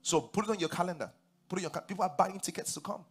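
A man in his forties with a Nigerian accent, preaching a sermon on a large church stage, animated and rising to loud emphatic declarations. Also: high-pitched, very fast, slightly rough, faint music behind. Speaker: pastor.

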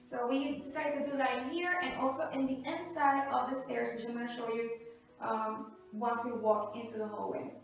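A middle-aged woman with a high voice talks casually to the camera, showing viewers around her house. The sound is very echoey, picked up by a camera microphone some distance away in a big open hallway. Her delivery is chatty and demonstrative, in the style of a home renovation vlog. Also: steady tone, normal speed, gravelly. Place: large two-storey house hallway and stair landing. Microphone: camera mic a few metres away.

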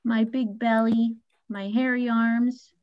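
Grumpy big bear from a picture book, read by a woman, gruff and slow, high-ish voice, dull lo-fi sound. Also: little pitch movement. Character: grumpy big male bear, mean then sorry. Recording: dull lo-fi recording.

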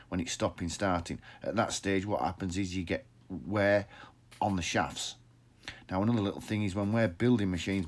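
A middle-aged man in a workshop, explaining machines plainly into a phone held close below his face, repeating words and restarting often. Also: sits low. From England. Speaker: pressure washer business owner. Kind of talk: informal how-to explainer. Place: indoor workshop with equipment shelves. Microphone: phone camera held close below the face.

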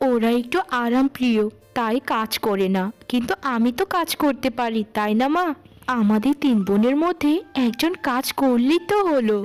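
A woman voicing a gentle, dutiful young youngest sister in a cartoon story, with a soothing, pleading manner. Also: on the high side, natural voice.

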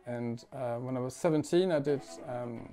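A man in his forties from France, a musician talking thoughtfully at home, with many pauses, fillers and restarts. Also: mid-range voice, over music. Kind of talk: reflective interview talk. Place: living room at home.

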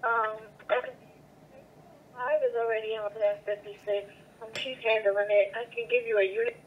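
A woman's voice: fairly high pitch, little pitch movement, moderate pace. A woman working as a police dispatcher, talking over a two-way radio. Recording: noisy, narrow police scanner radio.

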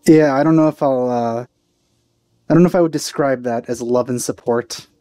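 A man's voice: medium pitch, normal speed, dark tone, no echo. A man giving casual commentary as he plays a video game. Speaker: let's player. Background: game music.

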